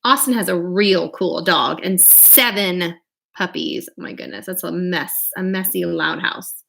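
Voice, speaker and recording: A woman's voice: fairly low pitch, unhurried, vocal fry, pushed and bright, a little hiss or hum. A woman reading a picture book aloud expressively, with chatty, casual asides to her listeners. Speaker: read-aloud storyteller.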